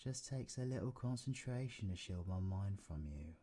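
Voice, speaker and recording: A man playing a cocky, menacing, flirtatious British supervillain in an intimate roleplay audio drama, breathy and taunting. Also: lowish voice, lively intonation, normal speed. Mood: menacing, seductive. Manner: taunting, breathy, swings between whispering and shouting.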